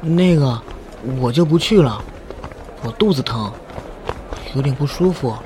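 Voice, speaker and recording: A man's voice: medium pitch, animated, unhurried, background noise, lightly acted. A man playing a shy university student in love, earnest and dreamy in an audio drama. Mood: sheepish, secretive. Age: young adult. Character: lovestruck shy male university student, secretly pining. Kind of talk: audio drama acting with inner monologue. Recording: voice over background music.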